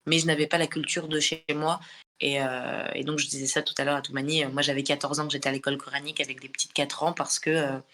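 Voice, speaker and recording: Woman hosting a voice-call chat, bright forceful tone, thinks out loud and picks her words as she goes. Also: deep voice, little pitch movement, fast. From France, Paris suburbs. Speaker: host. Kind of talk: casual online group discussion.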